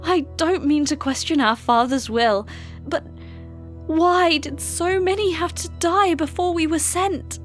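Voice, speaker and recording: Actress as female fantasy healer: high voice, grieving, gentle, questioning, earnest, over faint music. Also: measured pace. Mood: anguished, questioning. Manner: earnest, emotional, formal fantasy diction. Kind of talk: fantasy audio drama acting.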